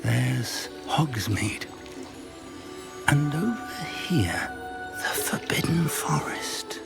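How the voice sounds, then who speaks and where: An actor playing a kindly older male magic professor in a video game, speaking earnestly and with soft wonder. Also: mid-range voice, big pitch swings, drawn-out words, vocal fry, exaggerated voice. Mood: awed. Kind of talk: video game cutscene acting. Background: game music.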